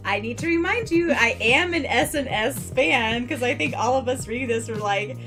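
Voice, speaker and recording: A woman's voice: fairly high pitch, moderate pace, fairly bright tone, soft background music. A woman co-hosting a podcast in casual chat, gushing with enthusiasm and laughing a lot. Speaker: podcast co-host.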